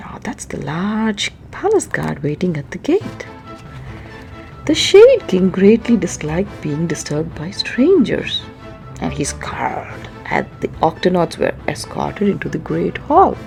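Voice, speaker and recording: A woman's voice: lowish voice, big pitch swings, a little slow, vocal fry, darkish tone, rough recording. A woman reading a children's story aloud in a dramatic, explanatory way, drawing out words and adding asides for young listeners. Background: music.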